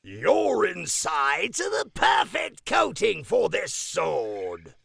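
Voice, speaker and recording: A savage demon swordsman from a video game. The voice is high and strained, gravelly and very raspy, swinging wildly as it gloats slowly. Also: wildly swooping pitch, fairly bright tone, exaggerated voice. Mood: savage gloating. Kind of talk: video game character voice line.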